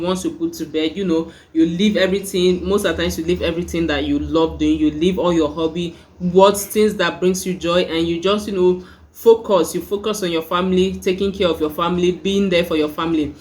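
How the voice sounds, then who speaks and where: A woman's voice: lowish voice, steady tone, a little fast, a little mellow. A young Nigerian woman with a Nigerian accent, chatting casually in a review vlog, full of "like" and "you know" fillers. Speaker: YouTube reviewer.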